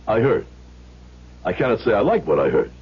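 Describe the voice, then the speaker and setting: An actor playing a proud, principled immigrant shoemaker in a radio drama, speaking formally and emphatically. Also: male voice, on the low side, wildly swooping pitch, husky, exaggerated voice. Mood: wary, defiant. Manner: formal, emphatic, deliberate speech. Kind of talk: old-time radio drama acting. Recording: muffled old radio recording.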